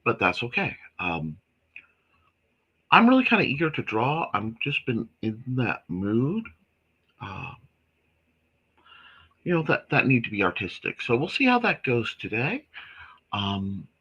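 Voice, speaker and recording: Hoarse, apologetic man hosting a stream. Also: medium pitch, huge pitch swings, measured pace, long pauses, lo-fi sound. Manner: apologetic, rambling with many fillers. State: slightly hoarse from a reaction. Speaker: host of a creative morning show. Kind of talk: casual livestream chat.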